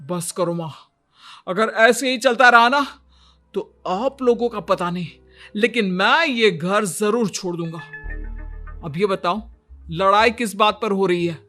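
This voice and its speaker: A woman's voice: mid-range voice, a little slow, fairly bright tone, soft background music, natural voice. A woman voicing a weary, put-upon young husband who pleads and laments in a cartoon moral story. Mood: exasperated.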